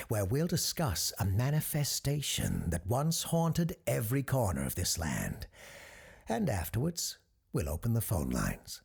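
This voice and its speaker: An actor playing a composed, earnest parapsychologist hosting an eerie radio show, speaking in a measured, formal announcer style. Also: male voice, fairly low pitch, creaky, a little mellow, husky, full character voice. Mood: ominous, storytelling. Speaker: host of a paranormal call-in radio show. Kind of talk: scripted radio-show narration.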